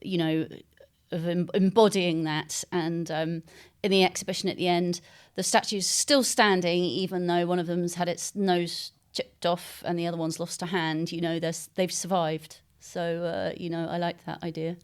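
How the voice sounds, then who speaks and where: A mature woman being interviewed as a novelist, speaking reflectively and articulately, with hesitant pauses and softened phrasing. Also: fairly low pitch, level tone, clear, resonant tone. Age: middle-aged or older.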